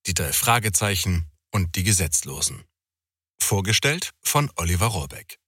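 A male announcer delivers a scripted show intro. His voice is low yet forceful and bright. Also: big pitch swings, moderate pace, breathy edge, no echo.

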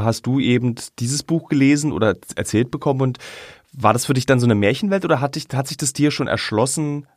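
A man hosts a podcast interview and poses long, rambling questions that often restart midway. His voice sits at an ordinary middle pitch. The sound is dry and close, with no room around it. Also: dry recording. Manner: long winding questions, restarting mid-sentence. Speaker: podcast host. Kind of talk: interview conversation.

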